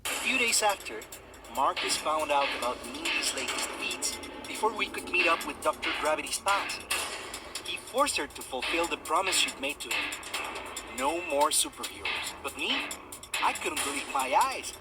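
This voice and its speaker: A young American wannabe superhero for a video game. He is cocky and jokey, with a high voice that swings widely in pitch. Loud music plays over him. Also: sing-song, moderate pace, creaky, low-quality recording. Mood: narrating, excited. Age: young adult. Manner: cocky, joking, foul-mouthed. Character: wisecracking, starry-eyed young male amateur masked superhero. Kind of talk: video game dialogue and first-person narration.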